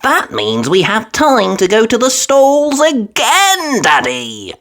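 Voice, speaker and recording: An excitable little girl pig from a children's storybook, voiced by a woman. The voice is pitched way up and swings about with eager enthusiasm. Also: slow pace, slight background noise, exaggerated voice. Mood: excited. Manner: bright-eyed eagerness, exclaiming.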